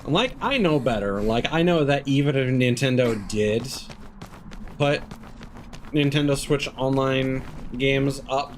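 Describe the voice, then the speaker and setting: A man giving casual live commentary as he plays a game, halting and thinking aloud as he goes. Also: medium pitch, expressive, a little hiss or hum. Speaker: game streamer.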